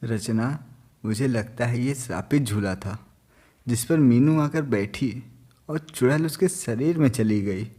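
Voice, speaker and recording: A man voicing a gentle, doting young father in a cartoon story, affectionate in manner. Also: medium pitch, unhurried, natural voice. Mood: worried.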